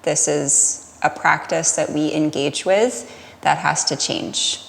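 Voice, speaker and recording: American lady podcast guest, really low voice w lots of fry, echoey reverby recording, chatty and keeps rephrasing herself. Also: steady tone, slow pace, fairly bright tone, slight background noise. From United States. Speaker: podcast guest, Pilates studio owner. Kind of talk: casual interview conversation.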